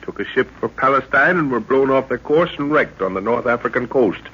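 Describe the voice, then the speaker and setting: A man's voice: medium pitch, animated, fairly quick, sharp tone, husky. A man playing an older explorer professor and protective father in an old radio serial, explaining and commanding with authority. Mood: explanatory, assured. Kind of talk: 1930s-40s radio serial acting. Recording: muffled old radio recording.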